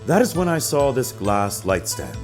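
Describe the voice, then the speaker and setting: An actor playing a smug, affected detective agency boss on the witness stand in a video game drama, pompous and flowery. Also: male voice, medium pitch, sing-song, normal speed, darkish tone, faint music behind, natural voice. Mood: self-assured. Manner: pompous, flowery, self-satisfied.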